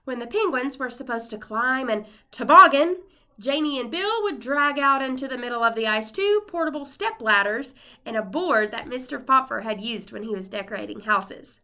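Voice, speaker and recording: A woman's voice: on the high side, normal speed. A woman reading a children's storybook aloud expressively, like a teacher addressing young pupils. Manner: expressive, voices the characters' dialogue.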